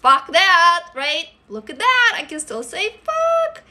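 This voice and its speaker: A woman in her forties with a Russian accent talks straight into her phone from a desk at home. Her voice sits high and bright, and she chats openly with viewers, dropping swear words without a second thought. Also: wildly swooping pitch, slow pace. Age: 40s. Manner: candid, swears freely, chatty asides to viewers. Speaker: livestream host. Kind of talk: casual livestream chat. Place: indoor room at a desk. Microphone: phone camera close up.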